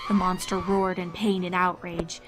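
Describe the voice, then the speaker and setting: A woman's voice: fairly low pitch, flat delivery, moderate pace. A woman giving a dramatic scripted narration of a horror fan-fiction story, with music behind her.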